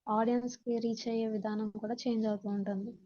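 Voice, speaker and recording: Female Telugu-accented instructor, lightly high and very relaxed tone, point-by-point lesson delivery with repeated explanation. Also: fast talker, highs cut off. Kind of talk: slide-based lesson, explaining in Telugu and English.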